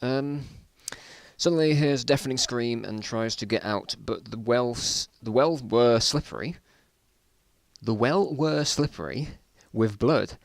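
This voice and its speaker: A man's voice: mid-range voice, a little slow, vocal fry, dry recording. A man reading his own old stories aloud in a casual dramatic style, with self-mocking, bemused asides.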